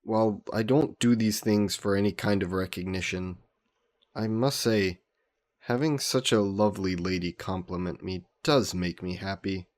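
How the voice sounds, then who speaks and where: A man playing an old aristocratic vampire in a romantic audio roleplay, speaking in formal, genteel, measured phrases. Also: low-pitched, lightly acted. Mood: warm, flirtatious. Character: ancient aristocratic male vampire, courtly, protective, gently teasing.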